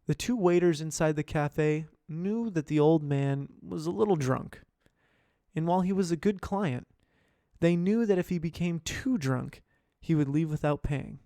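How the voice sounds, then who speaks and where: A man with a gravelly, somewhat high voice reads passages like an audiobook narrator. The sound is close and dry, with no room echo, and he moves steadily through long descriptive sentences. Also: unhurried. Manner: shifts pitch and loudness between characters. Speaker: voice actor practicing reads.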